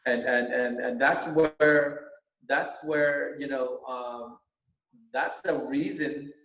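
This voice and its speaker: A middle-aged man whose first language is Vietnamese, a teacher running for school board, speaking earnestly with many halting restarts. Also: mid-range voice, fairly even intonation, a bit bright. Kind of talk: earnest interview talk. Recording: roomy echo.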